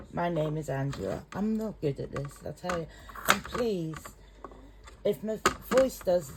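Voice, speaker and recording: A middle-aged English woman, a home cook, talking in a rambling, chatty, self-conscious way in her kitchen. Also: medium pitch. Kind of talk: casual cooking vlog. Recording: noisy home recording.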